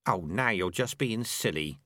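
A man's voice: deep voice, animated, a little slow, pushed and bright, no room sound, studio quality, full character voice. A man voicing a blunt, skeptical rat in an audiobook, with a grumbling, colloquial manner. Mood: skeptical, irritated.

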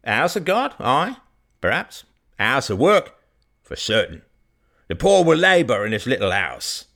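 A man voicing a blunt old rustic farmer who speaks in a folksy, deliberate way. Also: medium pitch, very animated, gravelly, a bit bright, voice pushed up, full character voice. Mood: gruff persuasion.

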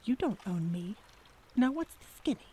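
An actress playing a plucky young debutante in a 1930s detective audio drama, talking in brash period slang. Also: female voice, medium pitch, very animated, moderate pace, dark tone, a little hiss or hum, natural voice. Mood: headstrong, sassy.

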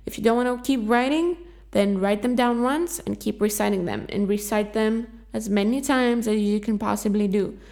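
A woman's voice: mid-range voice, normal speed, darkish tone. A woman whose first language is Greek, hosting a self-help podcast. She gives earnest, encouraging instructions and often repeats herself. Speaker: podcast host.

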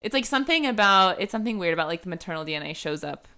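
A woman's voice: on the low side, expressive, rapid-fire, sharp tone, clean tone. A young woman hosting a true-crime podcast, telling a case in a casual chatty way, full of "like" and "um" fillers. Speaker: podcast host.

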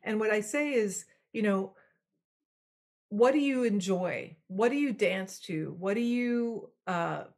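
A woman's voice: mid-range voice, slow. A woman from Belgium whose first language is French, a musician talking expressively as a podcast guest. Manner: expressive, talks with her hands. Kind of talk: casual conversation.

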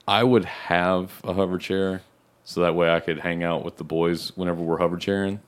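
A man's voice: sits low, fairly even intonation, normal speed, clean tone. A man in his thirties co-hosting a podcast in casual chat, laughing often and swearing freely as he rambles. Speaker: podcast co-host.

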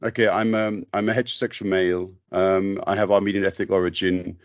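A man from the UK, talking thoughtfully on a podcast, pausing and restarting often. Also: sits low, little pitch movement, dry recording, lo-fi sound. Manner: thoughtful, hesitant, many restarts. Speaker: podcast host. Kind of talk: reflective conversation.

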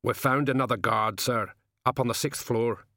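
A man's voice: mid-range voice, fairly even intonation, moderate pace, creaky, pushed and bright, no room sound, clean studio sound. Man as a plain-spoken henchman. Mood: matter-of-fact. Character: plain-spoken male henchman reporting to his boss.

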